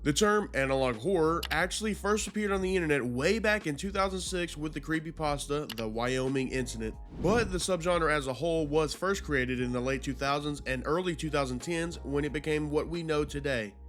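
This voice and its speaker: Higher-pitched man narrating casual YouTube commentary. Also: brisk, few pauses, fairly bright tone, soft background music. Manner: informal, slangy, conversational. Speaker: YouTube host.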